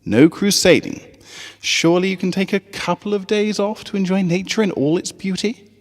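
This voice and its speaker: Man as a firm, kindly mare schoolteacher, raised pitch. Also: moderate pace, big-room sound, lightly acted. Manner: gently firm, instructing.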